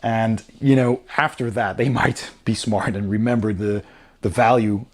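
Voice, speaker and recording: A man's voice: lowish voice, steady tone, measured pace. Man giving a casual rulebook review. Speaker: board game reviewer.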